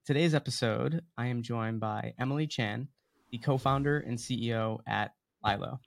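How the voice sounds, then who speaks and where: A man's voice: mid-range voice, moderate pace, creaky. A man hosting a podcast interview, friendly with hesitant restarts. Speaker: podcast host.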